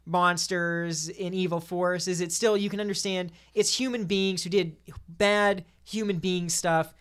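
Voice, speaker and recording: Lower-pitched woman, halting and repetitive. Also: fairly even intonation, clear, resonant tone. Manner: halting, repeats words and restarts phrases often. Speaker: podcast panelist debating games. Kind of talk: casual chat.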